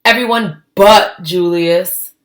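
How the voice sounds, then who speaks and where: Slow-paced woman reading a children's story. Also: on the low side. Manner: expressive, emphatic. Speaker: read-aloud storyteller. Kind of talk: children's storybook read-aloud with asides. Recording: echoey, roomy sound.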